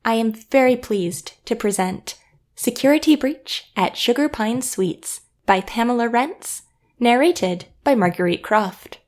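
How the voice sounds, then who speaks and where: A woman's voice: fairly high pitch, lively intonation, unhurried, darkish tone. A woman giving a scripted podcast introduction as the show's host.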